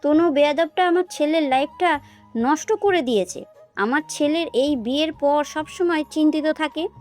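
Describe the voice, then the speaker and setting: A woman's voice: high voice, moderate pace, darkish tone, faint music behind, lightly acted. A woman playing a doting, scheming middle-aged mother in an audio love story, fussing and coaxing. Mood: bitter.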